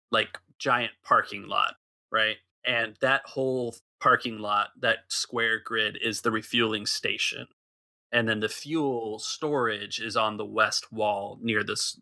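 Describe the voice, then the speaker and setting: Game master, male, low and gravelly, bright edge, steady scene-setting. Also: unhurried. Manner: describing a map and calling dice checks. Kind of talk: narrating a tabletop game.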